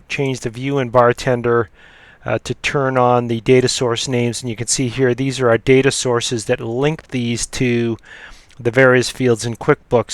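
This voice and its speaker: A man giving an unscripted software demo in a halting, matter-of-fact way as he explains each step. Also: mid-range voice, no echo. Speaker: software demonstrator. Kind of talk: unscripted screen-recorded software tutorial.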